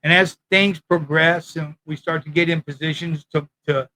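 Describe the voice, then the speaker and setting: Brash male ex-con from California; loud, profane, boastful, slightly raised pitch, rambling delivery. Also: steady tone, moderate pace, poor audio. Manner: blunt, profane and boastful street talk; rambling, loses his thread. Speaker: former prisoner sharing prison know-how. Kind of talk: casual monologue to camera. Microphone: talking into a mic.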